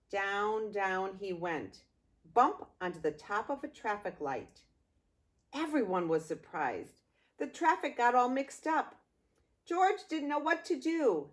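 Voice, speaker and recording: Slow, animated grandmother reading a storybook. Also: mid-range voice. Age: older. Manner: expressive, unhurried, addressing small children. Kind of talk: storybook read-aloud with playful asides to young children.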